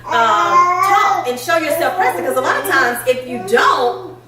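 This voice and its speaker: A woman's voice: high-pitched, a little slow, roomy sound, far from the mic, a loud crowd. A middle-aged woman hosting a casual chat, telling stories with plenty of laughter. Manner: animated storytelling, laughs often. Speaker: podcast host.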